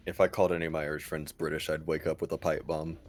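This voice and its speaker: A man's voice: a bass voice, little pitch movement, fast. A man with a Southern US accent, joking wryly in a casual stream chat. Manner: joking, wry. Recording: faint background music.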